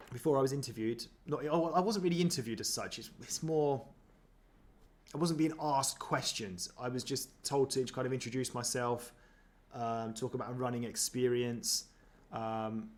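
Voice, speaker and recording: A man's voice: mid-range voice, moderate pace. A man chatting casually as he reacts to footage of himself, self-deprecating, with many ums and restarts. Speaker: running vlogger.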